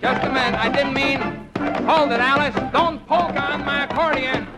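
High-voiced, flustered bandleader. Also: male voice, steady tone, moderate pace, over loud music. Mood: panicked. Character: flustered, folksy male bandleader hosting a champagne-music show. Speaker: bandleader and host in a parody sketch. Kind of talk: old-time comedy record parody. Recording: noisy vintage recording with loud crowd laughter.

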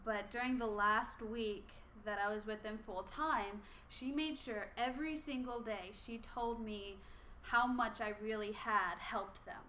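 A woman who works as a babysitter, talking loud and laughing as she shares a story with a group. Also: fairly high pitch, steady tone, measured pace, a little hiss or hum. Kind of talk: casual group sharing. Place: room with a group.

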